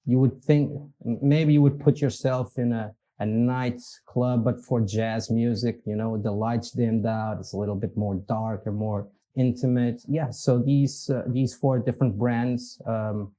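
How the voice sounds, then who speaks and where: A man's voice: mid-range voice, fairly even intonation, measured pace, few pauses, dark tone. A man with a Portuguese accent, speaking as a guest in a casual interview, easygoing and meandering, chuckling at himself now and then. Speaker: podcast guest, company executive.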